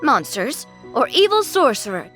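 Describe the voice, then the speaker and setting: A woman playing a lively, chatty young lady in a game dub, expressive and playful. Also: high-pitched, measured pace, soft background music, full character voice.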